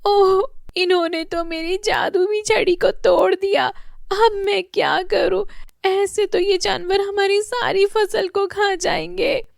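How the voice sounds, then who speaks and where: A woman voicing a lazy young village boy who whines in a high, sing-song voice. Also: fairly even intonation, slow, gravelly, no echo, clean studio sound, heavily acted. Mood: wailing.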